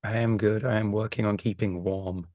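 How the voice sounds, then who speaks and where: A man co-hosting a podcast with a croaky voice from recent illness, chatting in a wry, thoughtful way. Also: fairly low pitch, fairly even intonation, moderate pace, darkish tone, no room sound, clean studio sound. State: croaky voice after a chest infection. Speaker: podcast co-host. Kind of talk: casual podcast chat.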